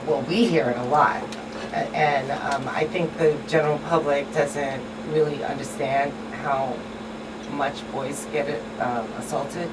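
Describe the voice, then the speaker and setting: Female panelist, notably low voice, emphatic conversational delivery; slightly off-mic. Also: fairly even intonation, a little slow. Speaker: talk show panelist. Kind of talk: conversational discussion. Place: small radio studio. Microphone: boom-arm studio microphone. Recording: distant mic, clear room hum.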